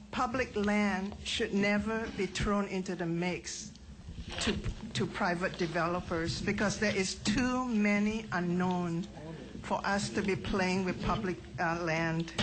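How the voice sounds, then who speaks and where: A woman testifying against a bill in a conversational way, with frequent fillers. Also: mid-range voice. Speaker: public testifier. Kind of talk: public testimony. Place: legislative hearing room. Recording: clear background noise.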